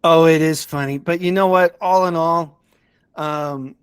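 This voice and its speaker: A man in a casual podcast discussion, speaking in a hesitant, halting way and often repeating words as he reviews stories. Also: on the high side, fairly even intonation, clear, resonant tone, no room sound.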